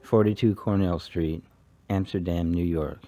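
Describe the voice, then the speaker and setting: A man's voice: sits low, steady tone, a little slow, dark tone, faint music behind. A man reading a scripted sponsor announcement.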